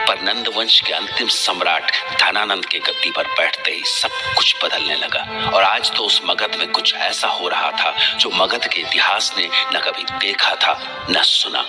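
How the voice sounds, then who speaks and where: A man narrating a historical tale in a dramatic, scripted storytelling style. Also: deep voice.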